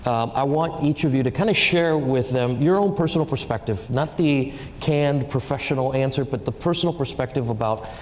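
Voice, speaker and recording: Man moderating a panel in a hall. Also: mid-range voice. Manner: relaxed, halting with fillers and restarts. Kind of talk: moderating a live panel on stage. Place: event stage in a large hall. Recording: reverberant hall with faint noise.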